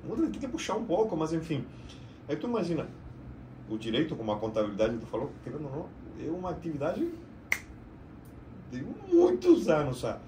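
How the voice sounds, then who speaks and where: A middle-aged man from Argentina with an Argentine Spanish accent, a native Spanish speaker, talking about his work in a casual, slightly halting way as a podcast guest. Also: a little high, huge pitch swings, background noise. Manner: chatty, slightly halting storyteller. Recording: roomy echo, mic at a distance.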